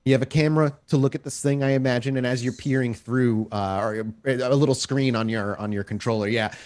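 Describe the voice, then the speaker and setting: A man acting as storyteller, improvising descriptive narration for a tabletop roleplaying game in a halting way. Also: medium pitch, steady tone, a little mellow.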